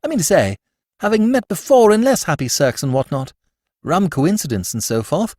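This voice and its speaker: A man voices a breezy young Englishman of the who chatters in an airy, sing-song drawl. The voice sits high and swoops wildly. The sound is dry and close. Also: very animated, moderate pace, a little mellow, dry recording. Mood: chatty.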